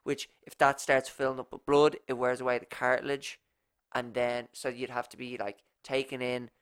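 A man's voice: medium pitch, flat delivery, normal speed, fairly bright tone, clear, resonant tone, no room sound, clean studio sound. A young Irish man in his twenties with a nasal voice, telling a rambling story in a casual podcast chat. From Ireland. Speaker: podcast co-host.

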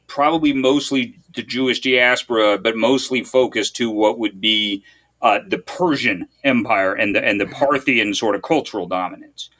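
Bright-voiced man musing on history. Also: lowish voice. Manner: thoughtful, halting, quotes sources aloud. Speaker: podcast host. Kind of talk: casual history discussion.

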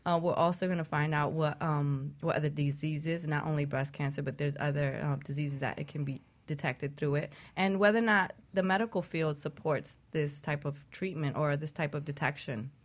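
A woman with a notably low voice co-hosts a radio talk show. She keeps things relaxed and conversational as she introduces topics and guests. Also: normal speed, few pauses.

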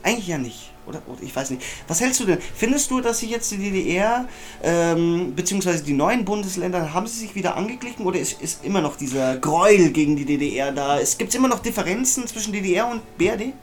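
A man co-hosting a casual comedic podcast, rambling and jokey with sudden loud exclamations. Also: sits high, expressive, quick pace, soft background music. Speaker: podcast co-host.

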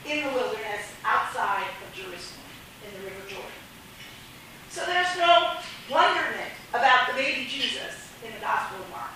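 A high, bright-toned woman lectures slowly and deliberately, her voice slightly gravelly from a recent illness. She is heard from a distance in a very echoey room with faint background noise, stopping often to gather her thoughts. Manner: measured, many pauses and fillers. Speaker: New Testament teacher. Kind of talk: academic lecture.

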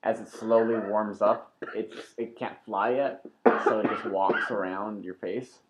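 A man co-hosting a casual podcast chat, joking and sarcastic with lively interjections. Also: lowish voice, a little slow, very noisy. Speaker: podcast co-host. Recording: dull lo-fi sound.

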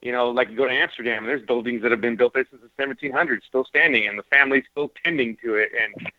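Radio host, a man who talks fast and earnest, tinny and dry sound. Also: mid-range voice, no echo. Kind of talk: casual radio talk show chat.

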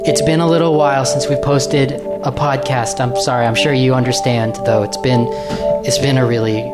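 A woman's voice: rumbling low, fairly even intonation, normal speed, over loud music. A woman playing a nervous, apologetic podcast host who talks hesitantly and carefully, in improvised comedy. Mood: uneasy, welcoming.

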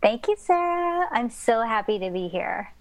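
A woman's voice: fairly high pitch, big pitch swings, unhurried, no echo. A middle-aged woman, born in Indonesia and raised in Southern California, talks through her family history as a podcast guest. Her storytelling wanders, full of fillers and restarts. The recording is dry and close. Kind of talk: casual interview conversation.

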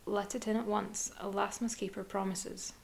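A woman's voice: mid-range voice, level tone, normal speed, vocal fry, slight background noise. A woman voicing a cruel, jealous stepmother who gives curt, commanding orders. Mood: cold commanding.

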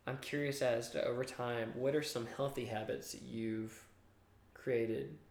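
A man hosting an interview, asking gentle, slightly hesitant questions. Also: mid-range voice, fairly even intonation, a little slow. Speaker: host. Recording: roomy.

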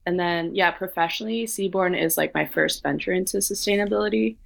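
Gravelly low-voiced woman. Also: fairly quick, no echo. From Denmark. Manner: relaxed, many "like" fillers. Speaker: co-founder of a sustainability consultancy. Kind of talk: casual interview answers.

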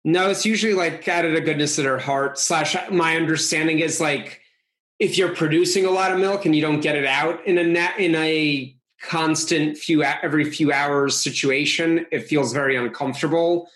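A man born in Russia talks as a comedy podcast guest. His voice sits a little high, and he rambles through his explanations before landing his jokes deadpan. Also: moderate pace. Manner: rambling, deadpan joke delivery. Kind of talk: casual podcast banter.

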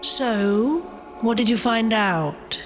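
An actress playing a loyal, encouraging female angel with an earnest, measured manner in an audio drama. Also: fairly high pitch, faint music behind. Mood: reassuring.

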